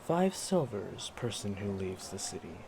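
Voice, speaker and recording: A man's voice: on the low side, sing-song, unhurried, a little mellow, exaggerated voice. A man playing a shifty, cowardly city gate guard in a fantasy audio roleplay, hesitant and weaselly. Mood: officious, shifty. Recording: clearly noisy, ambient background.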